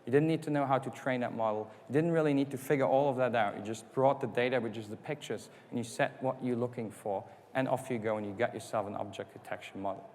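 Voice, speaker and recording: A man's voice: medium pitch, fast, hardly any pauses, a little mellow. A man whose first language is not English, giving a conference keynote, explaining step by step and asking rhetorical questions. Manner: explanatory, rhetorical questions, acts out customer quotes. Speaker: conference speaker. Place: conference hall. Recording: hall reverb.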